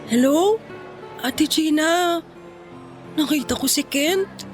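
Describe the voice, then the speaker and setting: A woman's voice: high voice, slow pace, over music. An actress playing a sassy, jealous young single mother with a Filipino accent in a radio drama. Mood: hurt, tearful. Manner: taunting banter with sarcastic edge.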